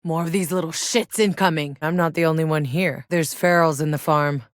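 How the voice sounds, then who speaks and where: Actress as a low-voiced, tough sci-fi soldier woman. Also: steady tone, normal speed, dry recording, clean studio sound, natural voice. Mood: urgent, combative. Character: tough, sardonic female sci-fi soldier and explorer. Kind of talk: scripted video game character voice lines.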